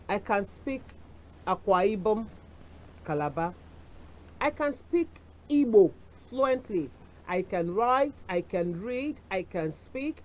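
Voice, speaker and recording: A woman's voice: medium pitch, a little mellow, low-quality recording. A middle-aged woman with a Nigerian accent hosting a television talk show, speaking in a chatty way with asides and dropping in Yoruba phrases. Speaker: TV show host. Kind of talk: casual chat to camera.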